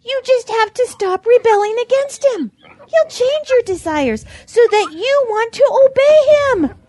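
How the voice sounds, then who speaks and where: A gentle, pious hen in an audio drama. The voice is extremely high and very lively in its swoops, earnest and preachy, recorded close and dry. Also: female voice, unhurried, no room sound. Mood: earnest, preaching.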